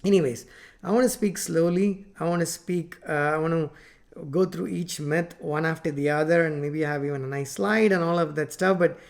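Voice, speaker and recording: Guy in his 40s, tamil native speaker, webcam talk from home office, lots of uh and restarts, bit high voice. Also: normal speed, gravelly. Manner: rambling, many fillers and restarts, gesturing with both hands. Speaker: software company founder teaching coding. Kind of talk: unscripted talk to camera. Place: home office with plant and photos on wall.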